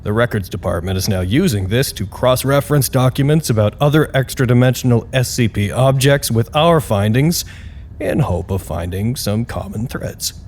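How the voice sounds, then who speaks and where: An actor playing a wry, deadpan announcer reading chatty status reports in a scripted audio drama broadcast. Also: male voice, mid-range voice, normal speed, slight background noise, close to an everyday voice. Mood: wry, dry. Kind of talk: scripted in-character radio broadcast. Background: music.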